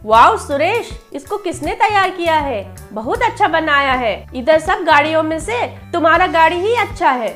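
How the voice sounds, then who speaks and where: Kindly storybook mother, woman actor: high pitch, gentle and encouraging, soft music bed. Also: expressive, moderate pace, hardly any pauses. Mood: praising. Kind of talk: cartoon story dialogue.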